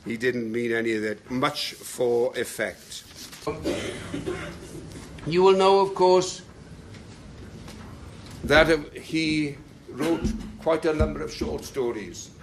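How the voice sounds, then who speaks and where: A man giving a talk to a local group and reading passages aloud with slow, dramatic pacing. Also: on the high side, expressive, a little hiss or hum. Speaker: speaker at a talk. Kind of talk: lecture with readings.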